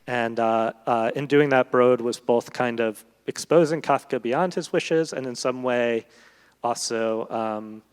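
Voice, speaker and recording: A man speaking as a guest translator in a literary discussion, explaining thoughtfully in long, halting sentences. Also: medium pitch, clear, resonant tone. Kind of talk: literary discussion before an audience. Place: large event hall, live public talk. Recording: echoey hall with long reverb.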